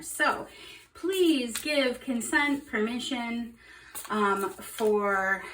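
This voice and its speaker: A young woman giving a casual tarot reading, chatty and upbeat with lots of fillers, her voice slightly hoarse. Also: on the high side, recorded from a distance, a little hiss or hum. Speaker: tarot reader. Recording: roomy echo, off-mic.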